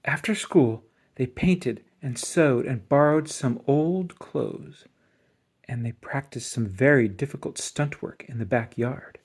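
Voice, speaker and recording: A storybook reader giving an expressive children's read-aloud narration. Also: medium pitch, slow, breathy edge.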